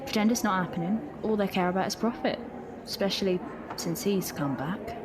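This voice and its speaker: A woman plays a blunt, cynical factory worker in a period audio drama. Her pitch is middling and clear ambient noise sits behind her. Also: fairly quick, natural voice. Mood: bitter. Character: plain-spoken, cynical female factory worker.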